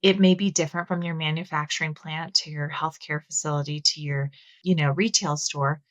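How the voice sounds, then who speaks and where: A woman with a Texas accent speaks in a somewhat low voice, explaining her views at a measured, thoughtful pace with the odd "um" and "you know". The sound is dry and close, with no room around it. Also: steady tone, fairly bright tone, dry recording. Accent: Texan. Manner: thoughtful, explanatory, with filler words. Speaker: guest. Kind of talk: conversational interview.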